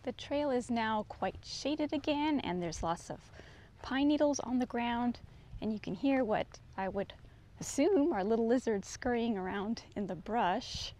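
A woman's voice: a little high, expressive, measured pace, mellow. A middle-aged woman vlogger casually narrating her walk along an outdoor forest trail, pointing things out as she goes. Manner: easygoing, descriptive, points things out as she walks. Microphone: handheld camera, often at a distance. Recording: faint outdoor noise.

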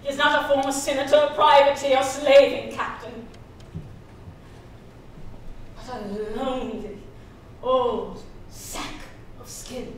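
A defiant, scornful woman in a stage drama. Her high, theatrical voice is slow and deliberate, echoing strongly around a large hall. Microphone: far from the speaker on stage. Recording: echoey hall, distant mic, faint noise.

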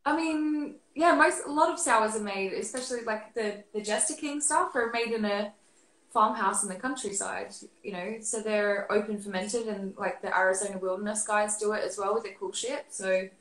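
A woman's voice: medium pitch, normal speed, a bit bright. Woman, 30s, from Australia, guest expert, casual video call, roomy webcam sound. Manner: chatty, many fillers and restarts. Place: living room at home. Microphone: laptop webcam on video call.

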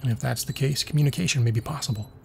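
A man playing an earnest, rational scientist in an audio drama, speaking in a deliberate, emphatic way. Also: mid-range voice, creaky, darkish tone, slightly rough. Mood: defiant, reasoning.

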